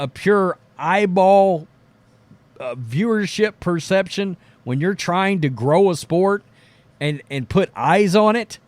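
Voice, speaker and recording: A man's voice: a little high, no room sound. A man giving casual, opinionated sports commentary as a show host, talking in a blunt, informal way. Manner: blunt, informal, mildly profane.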